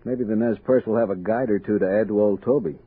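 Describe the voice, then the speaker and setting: A man's voice: on the low side, steady tone, fast, slightly rough. An actor playing an earnest, practical frontier expedition man, plain-spoken, in a radio drama. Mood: practical, concerned. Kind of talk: 1960s radio drama acting. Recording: dull old radio recording.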